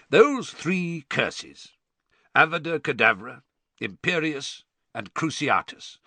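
A man's voice: mid-range voice, pushed and bright, no echo, voice pushed up, full character voice. A man voicing a stern, intense old battle-scarred professor with a growl and an emphatic lecturing manner in an audiobook.

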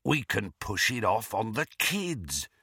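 A man voicing a brutish, hot-tempered, cowardly villain wizard who bellows in rough, ungrammatical speech, in an audiobook. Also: on the low side, very animated, takes it slowly, bright, forceful tone, no echo, studio quality, exaggerated voice. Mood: crafty. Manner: bellowing, uneducated non-standard grammar.

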